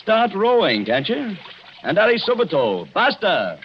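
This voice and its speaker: Protective husband, 1940s radio thriller. Brisk, commanding, hugely varied pitch, muffled recording. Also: male voice, wildly swooping pitch, slight background noise, full character voice. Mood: alarmed, commanding.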